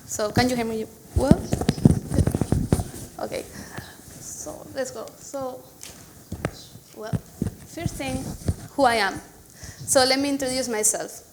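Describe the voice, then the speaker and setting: A woman's voice: high-pitched, slow, creaky, pauses often, noisy background. A woman in her thirties from Spain with a Spanish accent, giving a chatty conference talk full of "so"s and restarts. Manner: chatty storytelling with many "so"s and restarts. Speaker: conference speaker. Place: conference hall.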